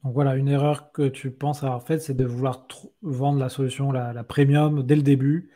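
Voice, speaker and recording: A man's voice: mid-range voice, little pitch movement, normal speed, mellow. A man hosting a podcast interview in a casual, conversational way, rephrasing points and feeling his way informally into questions.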